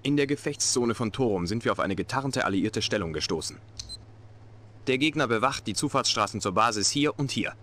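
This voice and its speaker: A man's voice: medium pitch, lively intonation, a little fast, fairly bright tone, a little hiss or hum, natural voice. A middle-aged man playing a dutiful, defensive Soviet military officer in a dubbed video game cutscene, formal and clipped.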